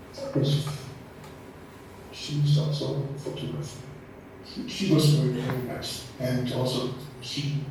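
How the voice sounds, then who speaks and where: A man from West Austria with an Austrian German accent, a German speaker recalling past exhibitions in halting English full of repeats and restarts, in a large exhibition space. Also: fairly high pitch, a little mellow, a little hiss or hum. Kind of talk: casual recollection on a guided tour. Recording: roomy hall, speaker far from mic.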